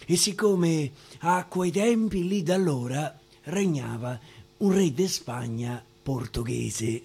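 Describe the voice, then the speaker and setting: A male stage actor with a Roman accent, performing a dialect verse monologue as a theatrical storyteller with dramatic pauses. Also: a little high, lively intonation, creaky, a little mellow, breathy edge.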